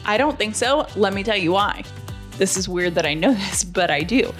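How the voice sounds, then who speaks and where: A woman's voice: medium pitch, animated, normal speed. Woman podcast host just chatting, asks lots of questions, music behind her. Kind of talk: casual chat.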